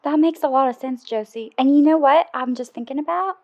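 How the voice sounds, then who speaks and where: A woman's voice: high voice, a little fast, dull audio. A woman playing an upbeat, guileless, lustful radio show caller in an audio comedy, bubbly and frank as she overshares. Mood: earnest, eager. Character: Doreen, upbeat, guileless, lustful female radio call-in listener. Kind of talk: audio comedy drama dialogue.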